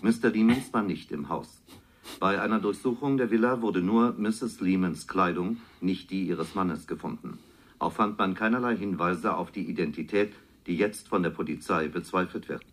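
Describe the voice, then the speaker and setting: A very low-pitched, sober radio news reader. He delivers a formal scripted bulletin as part of a radio drama. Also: moderate pace, slightly rough. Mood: matter-of-fact.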